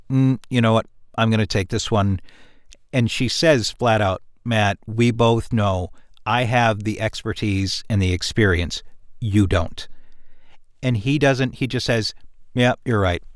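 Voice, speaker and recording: Slow, low-voiced man, thoughtful and halting. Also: no echo, clean studio sound. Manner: halting, thoughtful, mulls over wording. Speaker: audio drama writer on a cast discussion. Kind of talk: casual, reflective discussion.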